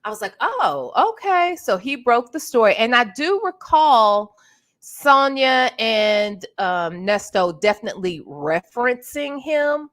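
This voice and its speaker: A woman hosting a casual livestream, chatting with her viewers while sounding congested and clearing her throat now and then. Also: fairly high pitch, sing-song, measured pace, fairly bright tone. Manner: chatty, greets viewers by name. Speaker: livestream host.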